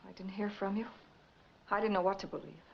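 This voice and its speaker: A fast-talking American woman plays a loyal, worried sweetheart in a 1940s noir picture. Her voice comes through a muffled, slightly noisy old soundtrack. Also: medium pitch, creaky, lightly acted. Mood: hurt, anxious. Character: worried, loyal sweetheart, a busy working mother. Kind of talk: 1940s film noir acting.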